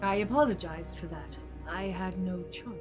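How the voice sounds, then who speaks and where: A woman's voice: on the low side, moderate pace, music in the background, lightly acted. A woman playing a haughty, cold alien time-traveller in a dramatic audio drama. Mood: resigned.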